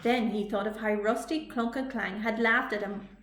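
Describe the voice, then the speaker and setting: A woman reading a children's story aloud as a library storytime reader, with expressive delivery. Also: a little high. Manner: slow, expressive read-aloud. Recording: echoey room.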